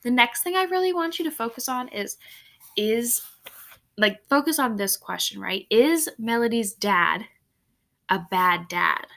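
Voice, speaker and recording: A woman's voice: a little high, animated, a little slow, vocal fry, sharp tone. A woman teacher reading a novel aloud to students, with casual explanatory asides and frequent check-ins. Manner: explanatory, often checking in with okay and right.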